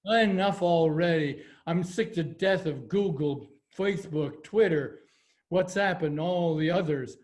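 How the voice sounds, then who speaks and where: A man's voice: a little high, unhurried, darkish tone, lightly acted. Man reading a grumpy, exasperated pizza customer. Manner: indignant, blustering complaints. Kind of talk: amateur readers theater over video call.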